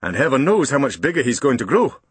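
A man's voice: mid-range voice, sing-song, quick pace, breathy edge, no room sound. A man reading an audiobook voices a sailor father speaking earnestly to his children. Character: cheerful sailor father home for Christmas.

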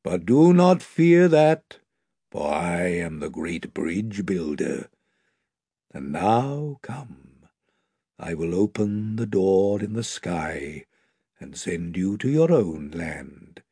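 A man voicing a huge, wise and gentle male lion who speaks softly and gravely. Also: low voice, very animated, slow, no room sound, clean studio sound, voice pushed down, exaggerated voice. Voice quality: soft, hushed, grave.

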